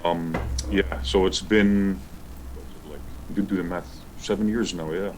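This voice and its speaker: A man from Lebanon, a pro wrestler and wrestling school founder, answering interview questions in an easygoing, steady way. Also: deep voice, unhurried, faint music behind, rough recording.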